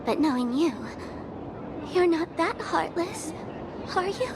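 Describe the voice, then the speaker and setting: A woman's voice: extremely high pitch, animated, slow pace, background noise, heavily acted. A woman voicing a mischievous, theatrical young showgirl in a video game, playful and teasing. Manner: playful, teasing, sing-song. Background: loud game music.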